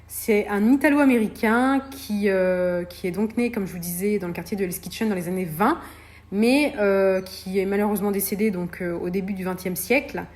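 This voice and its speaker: A woman hosting a solo podcast, talking in a hesitant way with many fillers and restarts. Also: mid-range voice. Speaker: podcast host.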